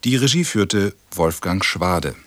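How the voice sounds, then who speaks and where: A man announcing radio programme credits in a formal, deliberate scripted style. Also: low voice, expressive, a bit bright, no room sound.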